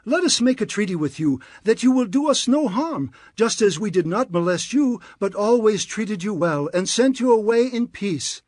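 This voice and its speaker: An eager, placating envoy in a scripture drama. He declaims formally in a very high, animated voice with a dry sound. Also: normal speed, hardly any pauses, dry recording. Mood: earnest, placating. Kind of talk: dramatized scripture audio acting.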